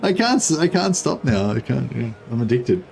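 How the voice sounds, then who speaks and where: A man with an Australian accent, chatting in a rambling, relaxed way while commenting on his gameplay stream. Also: medium pitch, normal speed, gravelly, slight background noise. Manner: rambling, chatty, self-correcting.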